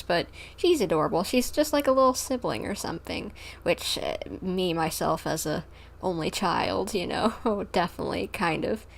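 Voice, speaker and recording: A woman livestreamer giving casual game commentary, chatty, expressive and gushing as she reacts. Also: on the low side, vocal fry.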